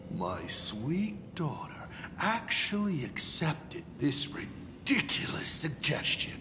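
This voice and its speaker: A theatrical male villain for a video game, pompous and sardonic. His delivery is slow and gravelly, swinging widely in pitch as he mocks like a grand showman. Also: exaggerated voice. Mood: mocking. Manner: pompous, mocking, drawn-out dramatic delivery. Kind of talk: dramatic video game voice acting.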